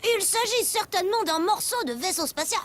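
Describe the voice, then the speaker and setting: A woman voicing an excitable, imaginative little child with a dramatic, exclamatory delivery in a children's cartoon. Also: extremely high pitch, brisk, soft background music. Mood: eager. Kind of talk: dubbed children's cartoon acting.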